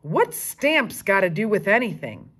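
A woman voicing an excitable, bossy, dramatic young schoolgirl in a storybook, emphatic and exclaiming. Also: medium pitch, a little slow, exaggerated voice. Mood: demanding.

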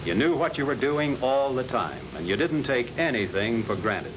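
A man's voice: mid-range voice, sing-song, normal speed. An American man reading a scripted 1940s instructional film narration, addressing the trainee directly in an instructive manner. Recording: noisy old 1940s film soundtrack.

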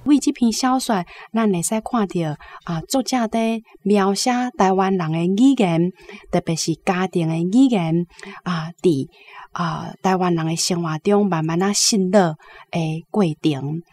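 A woman with a Taiwanese accent giving measured, explanatory literary commentary as an academic guest. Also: lowish voice, darkish tone.

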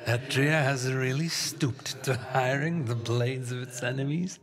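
An actor playing a haughty, scornful, scheming duke in a fantasy video game, speaking in a theatrical, measured, condescending way. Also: male voice, medium pitch, close to an everyday voice. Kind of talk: dramatic video game character acting.

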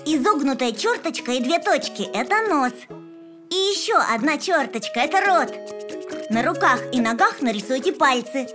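A cheerful little cartoon rabbit boy with a high, very lively voice. He explains things in an encouraging, instructive way, with music playing behind him. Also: normal speed. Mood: cheerfully instructing. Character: cheerful young boy bunny who teaches drawing. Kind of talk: children's educational cartoon dubbing.

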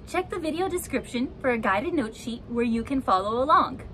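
A woman in her thirties hosts a language lesson with a high, lively voice. She is recorded outdoors, with ambient noise behind her. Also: normal speed. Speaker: host and French teacher. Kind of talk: presenting a language lesson to camera. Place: outdoor porch or balcony by a garden.

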